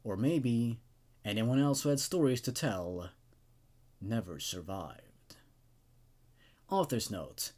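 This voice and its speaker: A man's voice: mid-range voice, animated, slow, pauses often, a little mellow. A man hosting horror story readings, moving between narration and informal, casual commentary. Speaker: host narrating horror stories.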